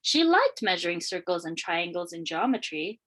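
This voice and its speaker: A woman reading a children's picture book aloud in an expressive, deliberate storytelling manner. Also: lowish voice. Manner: expressive, questioning lifts and deliberate phrasing. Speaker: read-aloud storyteller, teacher.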